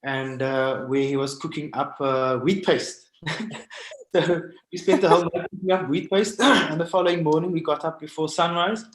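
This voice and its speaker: A man with a South African accent telling stories informally on a video call, halting and pausing often. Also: a little high, slight background noise. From Cape Town, South Africa. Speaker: guest artist sharing stories. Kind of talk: informal storytelling presentation. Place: indoors at home, on a video call. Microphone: video call microphone. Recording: roomy, echoing video call audio.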